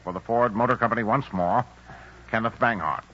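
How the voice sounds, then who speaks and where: A man's voice: fairly low pitch, brisk, bright, forceful tone, slight background noise. A man narrating the scene links of a 1940s radio drama in a formal, stately manner, heard on a dull old broadcast recording. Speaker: program announcer. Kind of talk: scripted narration.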